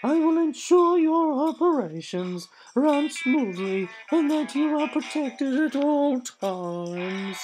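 A woman's voice: high-pitched, animated, slow pace, hardly any pauses, rough recording. A woman voicing an eager, overly helpful Swedish muscle-bound male secretary with a moustache and a put-on Swedish accent, speaking in earnest, formal phrasing. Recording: music playing behind voice. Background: music.

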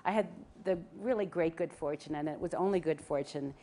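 Low-voiced woman, chatty panel speaker. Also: brisk, mellow. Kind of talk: panel talk to a live audience. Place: large festival tent with audience.

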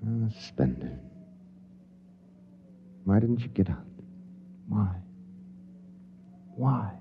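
A man's voice: lowish voice, sing-song, drawn-out words, a little hiss or hum, full character voice. An American man playing a stern, anguished spaceship captain in a 1950s radio drama, earnest and weighty. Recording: dull 1950s radio recording, faint music.

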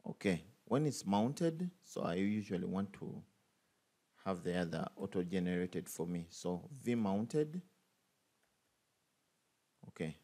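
A man's voice: fairly low pitch, mellow. A man teaching a coding tutorial over a screen recording, thinking aloud with many fillers and restarts. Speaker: programming tutor.

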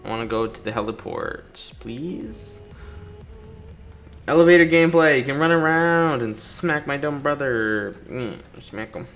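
Male let's-player, casual and chatty, very expressive pitch, talks playfully to characters, light game music underneath. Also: measured pace. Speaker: gaming YouTuber doing a playthrough. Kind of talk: casual gameplay commentary. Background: video game music.

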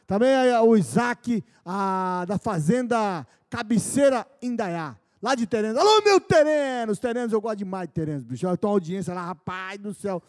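A middle-aged Brazilian man hosting a country-music TV show in a studio, chatting with guests and shouting greetings to viewers in a folksy, exclaiming way. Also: high voice, big-room sound. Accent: Brazilian Portuguese. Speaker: TV show host. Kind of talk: casual studio chat and shout-outs. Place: TV studio set dressed as a country bar. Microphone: handheld mic.

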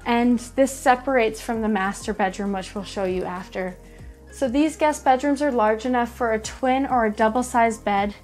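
A woman's voice: a little high, moderate pace, a little mellow. A woman in her thirties hosting a walk-through house tour, describing each room in an upbeat presenter manner inside an empty show home. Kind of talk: friendly real estate walkthrough. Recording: faint background music under voice.

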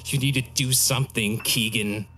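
An actor playing a resentful, intense husband sliding toward madness in a horror drama. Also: male voice, medium pitch, fairly even intonation, moderate pace, pushed and bright, a little hiss or hum, close to an everyday voice. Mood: seething, unhinged. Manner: intense, embittered. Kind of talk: scripted audio horror drama.

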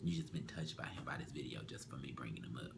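A young man co-hosting a casual chat show, teasing with quick comebacks and often dropping to a murmur, recorded in a small bedroom. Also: a bass voice, gravelly, background noise. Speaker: co-host.

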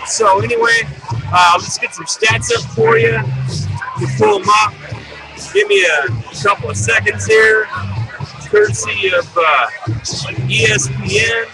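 A man's voice: high-pitched, rough recording. A man hosting a casual post-game sports commentary, talking bluntly and colloquially like a fan. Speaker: sports show host.

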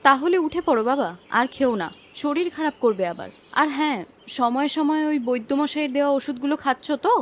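A woman's voice: high-pitched, animated, a little fast, few pauses. A woman voicing a young married daughter in a cartoon, fond and chiding, a little bossy in her care. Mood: caring, firm. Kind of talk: Bengali cartoon dialogue dub.

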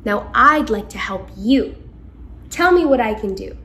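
A timid, friendly boy ghost from a storybook, acted out by a woman, high and very animated. He is mournful and dramatic, quick to exclaim and plead.

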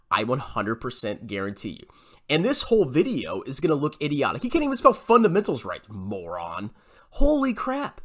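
Mocking man with wildly swinging pitch. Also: a bit bright. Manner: mocking, exasperated, blunt. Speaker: YouTube host reacting to a video. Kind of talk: sarcastic reaction commentary. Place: small room indoors at home.

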